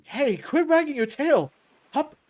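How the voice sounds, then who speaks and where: A woman's voice: medium pitch, lively intonation, measured pace, a little mellow. A woman voicing a huge, boisterous male beast fighter in a visual novel, jeering and commanding with a showman's swagger. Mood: teasing.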